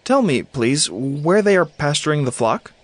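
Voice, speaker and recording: A man's voice: on the high side, big pitch swings, normal speed, no room sound. An actor playing an earnest, youthful male dreamer in a Bible audio drama, speaking in a declamatory way. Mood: polite, earnest. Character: earnest youthful dreamer, favoured younger son.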